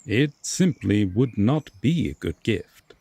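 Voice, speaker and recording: Guy doing a haughty fae king for fantasy audio rp, husky n kinda low, super slow formal talk. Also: big pitch swings, dark tone, exaggerated voice. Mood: haughty, instructing. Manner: formal, slow, deliberate theatrical speech. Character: haughty, possessive male fae king, slyly intrigued. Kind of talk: fantasy audio roleplay acting.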